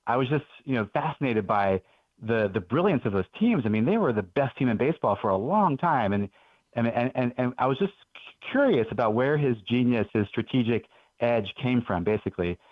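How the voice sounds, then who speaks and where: A man's voice: medium pitch, animated, a little fast, no room sound. Man, 40s, from Belgium, radio guest author, enthusiastic storyteller, dry and muffled sound. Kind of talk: radio interview. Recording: dull lo-fi radio audio.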